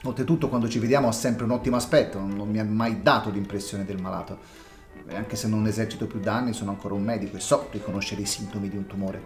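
A man's voice: lowish voice, steady tone, echoey room, faint music behind, close to an everyday voice. A man voicing an elderly retired doctor who often stumbles and restarts his words. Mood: worried. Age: older. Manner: halting, stammering restarts. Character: old Dr Watson, retired doctor, hesitant. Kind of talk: amateur audio drama.

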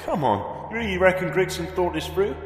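A man's voice: sits high, expressive, a little fast, echoey room, big-room sound, a little hiss or hum. A man playing a brusque, commanding resistance fighter in an audio drama. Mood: tense. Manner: terse, commanding. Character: brusque male resistance fighter planting a bomb.

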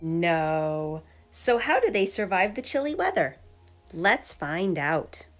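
A woman's voice: on the low side, sing-song, slow, soft background music. A woman reading a children's picture book aloud like a teacher, with emphatic storytelling delivery. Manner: clear storytelling delivery with emphatic questions and answers.

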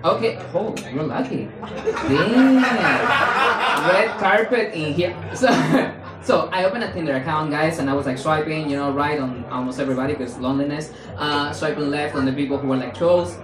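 Young Spanish comic, animated and playful, heard from afar in a roomy club with a loud laughing crowd. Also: male voice, normal speed. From Spain. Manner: animated, playful, jumps into high mock voices for quotes. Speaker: stand-up comedian. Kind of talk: stand-up comedy set. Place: small comedy club stage, indoors. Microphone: handheld mic. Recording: roomy club sound from far off, audience laughing.

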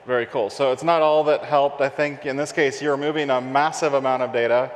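A man's voice: mid-range voice, steady tone, fairly quick, in a large hall. A man in his forties hosts an onstage tech interview with an upbeat, affirming manner, using a headset mic. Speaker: host. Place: large conference stage before an audience.

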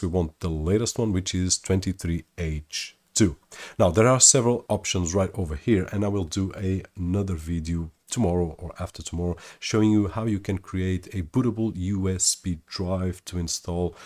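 A man in his thirties talks through a computer tutorial with a low, deep voice. He explains each step in a steady, upbeat way, like a tech YouTuber at his desk. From Portugal. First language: Portuguese. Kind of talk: tutorial walkthrough. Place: room indoors at a desk.

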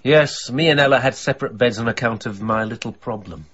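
A man's voice: mid-range voice, fairly quick, a bit bright, slightly rough, muffled recording. An actor playing a mild, chatty older widower in a radio drama, homely and a little hesitant in his manner. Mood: friendly, chatty.

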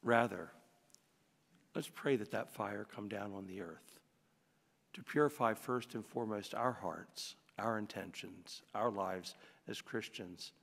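A middle-aged priest speaks from a lectern microphone, preaching in an earnest, conversational way. His voice sits on the low side. The sound carries the long reverb of a large church. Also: unhurried, creaky, a bit bright, husky. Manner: earnest, conversational preaching with frequent "you know". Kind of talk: homily at Mass.

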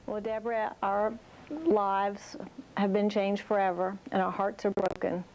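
A middle-aged woman answering interview questions at home, soft and halting, pausing often. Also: mid-range voice, little pitch movement, slight background noise, low-quality recording. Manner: soft, halting, speaks slowly with pauses. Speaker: interviewee, grieving mother. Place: indoors at home.

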